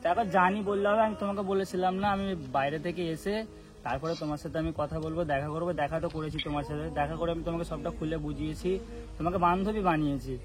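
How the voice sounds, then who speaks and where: Insistent high-pitched young-sounding man over music. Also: fairly even intonation, racing, poor audio. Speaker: prank vlogger. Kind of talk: staged serious phone conversation, casual. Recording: loud background music.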